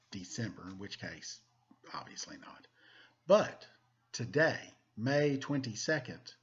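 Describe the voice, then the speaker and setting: A man's voice: medium pitch, dull audio. A man hosting story time, reading a picture book aloud to children with playful, self-deprecating, chatty asides.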